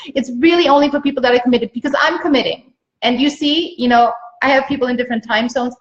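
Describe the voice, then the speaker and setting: A woman from Austria, a native German speaker, speaks as a business coach giving an emphatic, repetitive motivational pitch on a livestream. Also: quick pace. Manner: emphatic, repeats phrases for stress. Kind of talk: motivational live sales pitch to viewers. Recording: dull lo-fi livestream audio.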